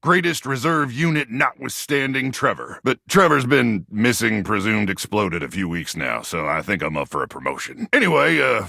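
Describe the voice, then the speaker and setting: An actor voicing a brash, hot-headed American video game character, a folksy microwave turned rambling soldier, full of bluster and slang. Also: male voice, lowish voice, vocal fry, pushed and bright, dry recording, clean studio sound, heavily acted.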